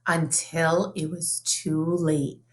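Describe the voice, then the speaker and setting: A woman reading a children's picture book aloud in an expressive, sing-song storybook manner, like a teacher. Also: sits low, slow pace.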